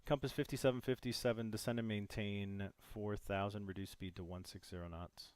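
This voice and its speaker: Deep-voiced man giving controller instructions. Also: steady tone, darkish tone, smooth, no room sound. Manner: measured, formal radio phraseology. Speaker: player as air traffic controller. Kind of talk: simulated air traffic control instructions.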